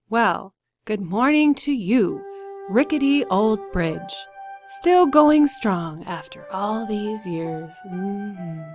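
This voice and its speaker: A woman voicing a gentle, nostalgic old Japanese grandfather, a storybook street storyteller who murmurs hesitantly to himself. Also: mid-range voice. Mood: wistful, musing. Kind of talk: storybook character voice for children.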